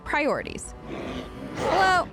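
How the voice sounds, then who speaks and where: High-voiced woman playing through a game. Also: big pitch swings, slow, loud music behind the voice. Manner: playful, bantering. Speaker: gaming video host. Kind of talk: role-play let's play commentary, chatty.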